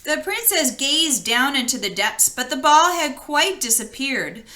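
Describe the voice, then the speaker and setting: Bright, animated woman reading a storybook. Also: high voice, measured pace. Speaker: storybook reader. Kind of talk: expressive read-aloud storytelling.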